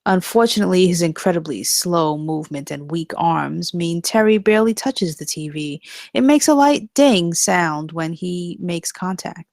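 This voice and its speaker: Female narrator, low-pitched, dry close sound, reading stage directions for a comedy script. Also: moderate pace, vocal fry, a little mellow, dry recording. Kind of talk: scripted narration at a live comedy table read.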